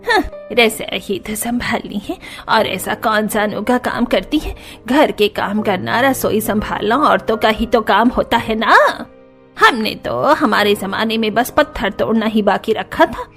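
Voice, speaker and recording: A woman voicing a sharp-tongued older aunt in a cartoon story, sneering and complaining. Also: a little high, unhurried, faint music behind. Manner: sneering, taunting. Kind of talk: Hindi cartoon story dialogue.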